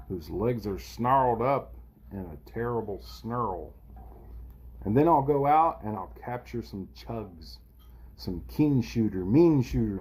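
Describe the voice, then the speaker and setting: A man reading a rhyming children's picture book aloud in an expressive, theatrical, playful way, as for a kindergarten class. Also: mid-range voice, slightly rough. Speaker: storybook reader for kindergarten children.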